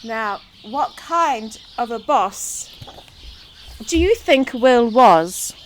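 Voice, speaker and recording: A woman reads a children's story in a high, very animated voice. She is expressive and takes her time, turning to the listener with questions. Birds sing behind her amid faint outdoor noise. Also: drawn-out words. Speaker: storybook reader.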